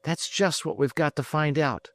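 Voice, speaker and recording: A man's voice: a little high, moderate pace, a bit bright, no room sound, clean studio sound, voice pushed up, lightly acted. A man voicing an eager, anxious young male bank clerk who speaks urgently.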